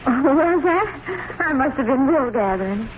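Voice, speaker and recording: A woman's voice: high voice, animated, moderate pace. A woman acting as a sweet, dutiful but evasive wife in an old radio drama, solicitous and nervously hesitant. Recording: dull vintage radio recording, faint noise.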